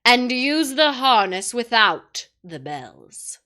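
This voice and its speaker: Cold, commanding witch queen from a storybook, voiced by a woman; slow, bright-edged delivery. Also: a little high, sing-song, heavily acted. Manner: imperious, commanding. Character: cruel imperious queen, cold and terrible.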